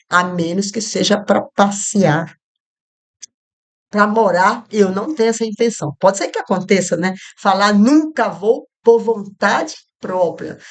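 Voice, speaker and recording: A woman from Rio Grande do Norte in Brazil, with a Northeastern Brazilian accent, giving a casual Bible study at home and drifting through asides with many fillers and restarts. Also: mid-range voice. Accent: Northeastern Brazilian Portuguese. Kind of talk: informal Bible study talk. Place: home, not a studio, with street traffic outside. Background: soft background music.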